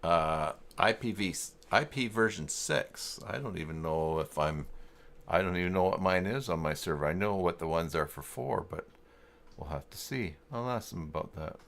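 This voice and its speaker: A man's voice: sits low, normal speed, gravelly, a bit bright. A man hosting a casual livestream, thinking aloud in a rambling, muttering way. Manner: rambling, muttering through thoughts. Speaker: livestream host.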